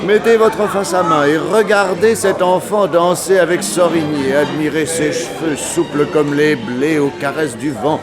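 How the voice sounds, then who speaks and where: An actor playing an elderly English lord, a courtly, wry and teasing old charmer in a 1960s French radio play. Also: male voice, fairly high pitch, normal speed, hardly any pauses, natural voice. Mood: gallant. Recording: old radio drama with dance music and crowd.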